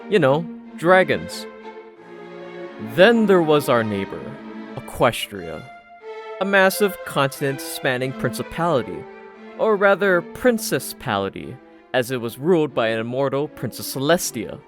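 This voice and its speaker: A man's voice: mid-range voice, sing-song, measured pace, over music. A man narrating a first-person story from a script in a dry, sardonic manner. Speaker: audiobook narrator.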